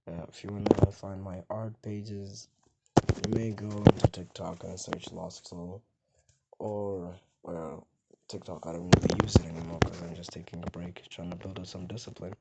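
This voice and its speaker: A young man with a strikingly deep, low voice and a gravelly fry. He rambles casually to the camera, and some words fall soft and mumbled. A loud noise floor with mouse clicks sits under the recording. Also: little pitch movement, normal speed. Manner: rambling, soft and mumbled at times, hard to hear. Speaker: gaming vlogger.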